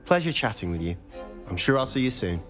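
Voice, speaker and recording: Game casting: roguish student wizard, self-assured, very animated pitch, clear music bed. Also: male voice, fairly quick. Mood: teasing confidence. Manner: confident, teasing, conspiratorial. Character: cocky, charming, rule-breaking teenage male wizard student. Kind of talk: video game cutscene acting. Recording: prominent music behind the voice.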